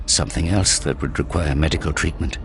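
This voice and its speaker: A man narrating a war story in a grave, deliberate, dramatic scripted style. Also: extremely low pitch. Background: prominent dramatic music.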